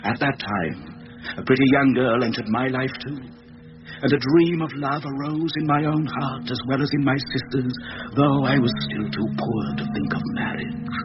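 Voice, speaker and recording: An actor playing the grave, coldly tempting ghost of a haunted man's dead double, in a slow, solemn radio drama style. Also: mid-range voice, lightly acted. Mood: bitter, reproachful. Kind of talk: classic radio drama acting. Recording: dull lo-fi old radio, loud music.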